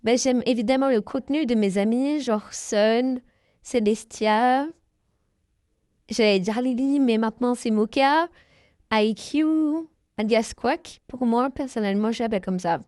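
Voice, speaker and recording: A woman's voice: a little high, a little slow, darkish tone, no echo, studio quality. A young woman answering her viewers' questions in a casual, hesitant chat, full of fillers and small laughs. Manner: hesitant, many fillers, giggly. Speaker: YouTuber answering fan questions.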